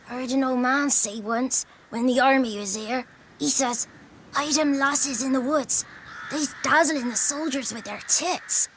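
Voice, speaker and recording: An actress playing a naive, cheeky little village child in a video game, gossiping in artless, rambling rustic speech. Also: female voice, high voice, measured pace, fairly bright tone, slight background noise, low-quality recording. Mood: naive, gossipy.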